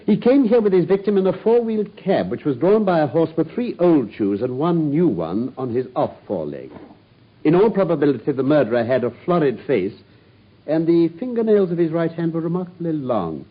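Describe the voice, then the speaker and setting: A sharp, dry-witted detective, husky, animated delivery, old lo-fi radio play. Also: male voice, on the high side, brisk. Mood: confident. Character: brilliant cool-headed male detective, dry wit. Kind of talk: radio drama acting. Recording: dull lo-fi radio broadcast.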